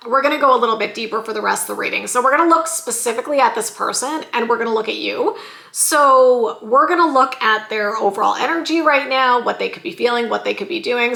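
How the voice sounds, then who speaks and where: A woman reading tarot in a chatty, sassy way, often saying "you guys," with a slightly hoarse voice. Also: a little high, bright, forceful tone, reverberant. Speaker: tarot reader. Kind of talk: casual tarot reading vlog.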